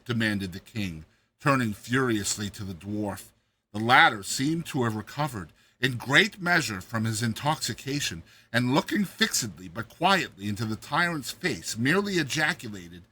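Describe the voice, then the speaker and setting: A man's voice: mid-range voice, pushed and bright, husky. A man narrating a classic tale as a dramatized reading, theatrical and emphatic.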